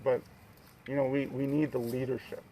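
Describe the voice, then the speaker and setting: A man's voice: mid-range voice, flat delivery, moderate pace, dark tone, poor audio. An American man in casual conversation, earnest, informal and insistent as he makes his case. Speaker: local citizen activist. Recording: faint outdoor traffic noise. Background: faint vehicle noise.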